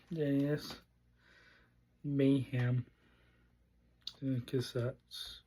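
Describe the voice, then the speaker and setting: Male vlog host, unpolished and casual, slow delivery in broken phrases, some background noise. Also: medium pitch, flat delivery, darkish tone. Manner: halting, short fragmented phrases. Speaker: unboxing video host.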